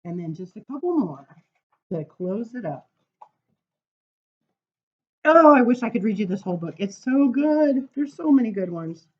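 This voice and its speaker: A woman reading poems aloud to children as a storytime librarian, with animated, sing-song emphasis and casual asides. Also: on the high side, normal speed, long pauses, slightly rough, lo-fi sound.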